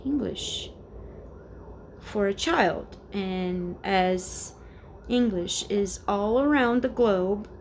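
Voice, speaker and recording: A Brazilian woman in her forties talks through a rambling personal vlog in English, very slowly, at a middle pitch. She hesitates often and searches for her words, with her Portuguese accent clear throughout. A faint hum of room ambience sits behind her at home. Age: 40s. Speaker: vlogger. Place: home, indoors.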